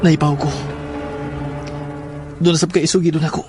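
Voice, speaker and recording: A man's voice: a little high, flat delivery, normal speed, lightly acted. A man playing a serious, insistent schoolboy in an audio drama. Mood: earnest, insistent. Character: serious schoolboy eager to uncover family secrets. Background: music.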